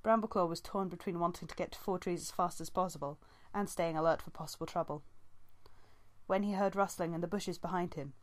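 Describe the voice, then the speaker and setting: A woman's voice: fairly low pitch, fairly quick. A woman narrating a novel as an amateur audiobook reader, reading from the text.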